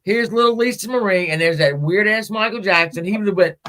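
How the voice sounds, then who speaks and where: A woman's voice: medium pitch, fairly quick, a bit bright, a little hiss or hum. A woman, chatting casually as host in a blunt, joking way. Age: 40s. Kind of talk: casual chat.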